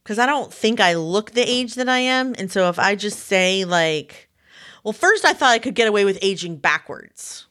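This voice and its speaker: A woman in her forties talking in an animated, self-deprecating way as a co-host in a casual chat. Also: medium pitch, moderate pace. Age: 40s. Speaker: podcast co-host.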